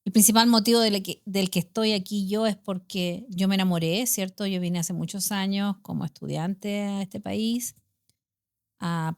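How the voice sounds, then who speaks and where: A woman's voice: mid-range voice, dry recording, studio quality. A middle-aged woman with a Chilean accent, hosting a casual podcast chat and telling stories with frequent tag questions. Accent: Chilean Spanish. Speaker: podcast host.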